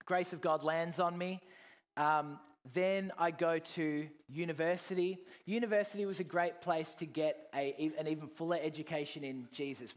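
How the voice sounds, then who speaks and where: Earnest man preaching his testimony. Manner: earnest, swings from loud emphasis to soft, low reflection. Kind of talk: personal testimony sermon.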